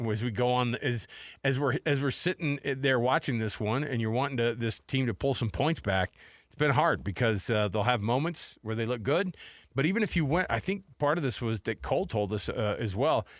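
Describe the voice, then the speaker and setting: A middle-aged American man talks sports in an informal, conversational way. His pitch sits in an ordinary range, and the sound is close and dry, as from a radio studio microphone. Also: no echo. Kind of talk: casual sports talk with commentary. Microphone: close broadcast microphone.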